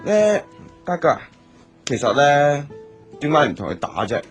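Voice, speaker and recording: Over clear guitar music comes a naive little-brother boy acted by a man in an audio drama. The voice is on the high side, whiny and simple-minded, with an earnest, childlike way of pleading. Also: sing-song, normal speed. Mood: earnestly questioning. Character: naive, childlike younger boy who adores his big brother. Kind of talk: Cantonese audio drama acting.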